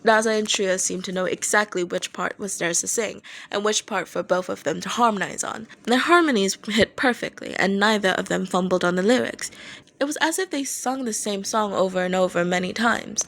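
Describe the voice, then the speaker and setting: A woman narrating a piece of fan fiction as an amateur audiobook reader, in an expressive storytelling style. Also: mid-range voice, moderate pace, gravelly.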